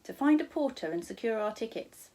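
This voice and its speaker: A woman voicing a calm, kindly gentleman guardian in a children's storybook, with quaint, reassuring turns of phrase. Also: fairly high pitch, fairly quick, voice pushed up. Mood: calm, cheerful. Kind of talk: children's storybook read aloud.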